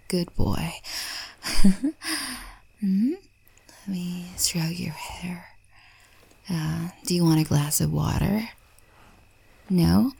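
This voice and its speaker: A woman performing a roleplay monologue, speaking mostly in a soft, breathy whisper with a teasing, doting manner. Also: fairly low pitch, no room sound.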